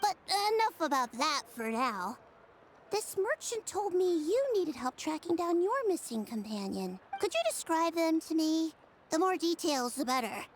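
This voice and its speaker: A woman's voice: extremely high pitch, sing-song, unhurried, slight background noise, heavily acted, low-quality recording. An actress voicing a kind, earnest female Melusine creature in a video game, polite and helpful. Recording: game music behind the voice.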